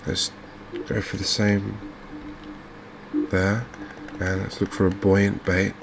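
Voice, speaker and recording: A man speaking softly and unhurriedly in an ASMR style, murmuring his way through video game commentary as a streamer. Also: on the low side, monotone, creaky, background noise. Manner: soft-spoken, unhurried, murmuring to himself.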